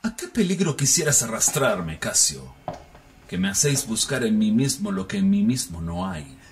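A man playing Brutus, a troubled noble Roman, speaking in a grave, brooding, measured theatrical recitation. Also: fairly low pitch, sing-song, slight background noise. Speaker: actor performing a stage monologue. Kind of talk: theatrical radio-drama recitation.

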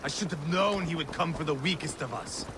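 An actor playing a vengeful, battle-scarred Viking warlord in a video game, savage and mocking with a gravelly, menacing delivery. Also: male voice, fairly high pitch, a little fast, noisy background, close to an everyday voice. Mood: grim, brooding. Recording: outdoor game ambience over voice.

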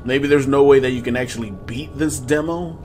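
A man in his twenties giving casual, slangy horror game commentary to his viewers in an animated, excitable way. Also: mid-range voice, brisk, a little hiss or hum. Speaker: gaming video host. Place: small room at home, indoors.

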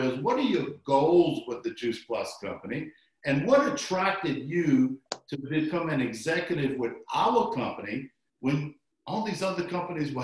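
A man hosting a promotional interview from his office, with a booming salesman delivery. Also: slight background noise. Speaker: host. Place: office with framed photos. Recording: very echoey room.

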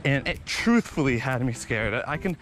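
A young man vlogging outdoors on a beach, telling animated stories while running, slightly out of breath, into a body-mounted camera. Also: medium pitch, moderate pace. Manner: animated, excitable storytelling with sound effects. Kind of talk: casual storytelling while jogging. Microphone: body-mounted action camera.

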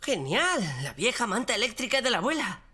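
A woman's voice: fairly high pitch, sing-song, a little fast, bright, forceful tone, slight background noise. An actress voicing a cheeky, mischievous young boy in a cartoon dub. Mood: eager. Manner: eager, scheming. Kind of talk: cartoon dubbing.

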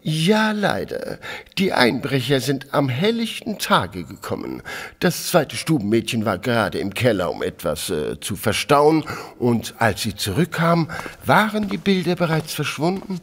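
An actor playing a haughty, disgruntled English gentleman in a German audio drama, speaking stiffly and formally with hesitant fillers and a husky voice. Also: mid-range voice, very animated, a little slow. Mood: uneasy, formal. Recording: long reverb, music underneath.

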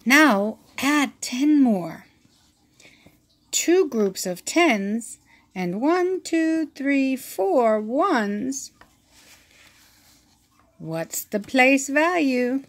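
A woman reading a children's math picture book aloud, counting slowly and asking the listener questions, recorded on a phone held over the book. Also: sits high, big pitch swings. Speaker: read-aloud teacher.

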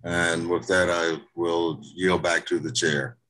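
A man testifying at a hearing over a video call, halting, with many fillers and restarts. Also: low-pitched, little pitch movement, bright, forceful tone, low-quality recording. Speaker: state representative presenting a bill.

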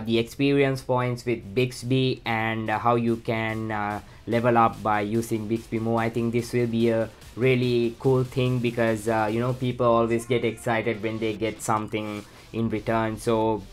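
A man's voice: mid-range voice, normal speed, few pauses, smooth, soft background music. A man from Sri Lanka with a Sri Lankan accent, casually walking through a phone setup with lots of "uh" fillers. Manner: relaxed, many "uh" fillers and "let's go ahead". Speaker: tech channel host. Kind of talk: casual how-to walkthrough.

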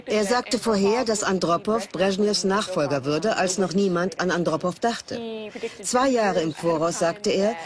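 A woman's voice: medium pitch, measured pace, crowd noise, lightly acted. A woman in a dubbed documentary, explaining facts as an expert in a matter-of-fact way. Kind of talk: German documentary voice-over dub.

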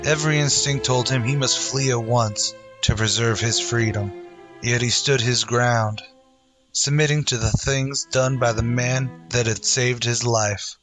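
A man's voice: mid-range voice, steady tone, measured pace, over music. A man narrating a western adventure in dramatic, scripted old-time radio style.

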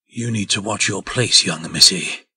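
A man playing a pompous, raspy talking pig. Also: deep voice, unhurried, pushed and bright, no room sound, heavily acted. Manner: pompous, indignant. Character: belligerent, self-important talking pig who runs a farm. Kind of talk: comic dub character acting.

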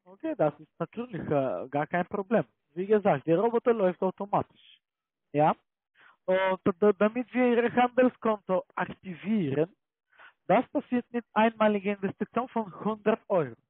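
A man with a foreign accent, not a native German speaker, giving a repetitive scripted sales pitch as a call-centre agent, often checking that he is followed. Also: animated, normal speed, no echo. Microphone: telephone. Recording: muffled low-fidelity phone call.

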